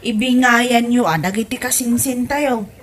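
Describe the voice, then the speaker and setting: A woman's voice: fairly high pitch, steady tone, normal speed, lightly acted. A woman playing an exasperated grown daughter in a comedy audio drama, speaking with an Ilocano accent. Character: exasperated grown daughter nagging her stingy mother.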